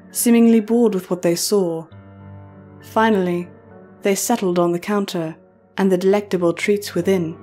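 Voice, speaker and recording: Female narrator for horror audio story, mellow, dark-toned delivery, mid pitch, light music bed. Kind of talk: scripted horror fan fiction narration.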